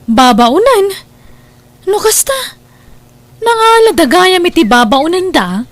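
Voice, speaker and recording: A woman plays a young daughter in an audio drama, with a high, girlish voice. She is inquisitive and wheedling. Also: very animated, slow pace, no room sound, heavily acted. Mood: gossipy.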